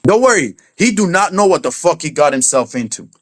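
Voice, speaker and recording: A man's voice: on the high side, sing-song, brisk, no room sound, clean studio sound. A man with a Crucian accent from St. Croix, ranting heatedly on a livestream, profane and taunting. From St. Croix, US Virgin Islands. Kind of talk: angry livestream rant. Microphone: streaming setup.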